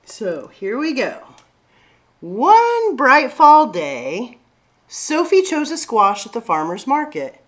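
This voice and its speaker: A woman's voice: fairly high pitch, huge pitch swings, slow. A woman hosting a children's story time, talking and reading aloud expressively to young listeners.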